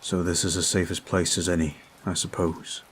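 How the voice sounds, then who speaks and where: A man voicing a devoted young male vampire in an ASMR roleplay audio. He speaks in an earnest, formal, quiet way. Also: low voice, little pitch movement, moderate pace, a little hiss or hum, close to an everyday voice.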